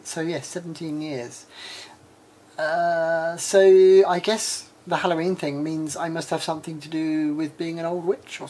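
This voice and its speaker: Chatty low-voiced English woman in her forties. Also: a little mellow. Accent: English with a slight Australian tinge. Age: 40s. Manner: rambling, many ums and uhs; chatty and frank. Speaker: vlogger. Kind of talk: casual personal vlog.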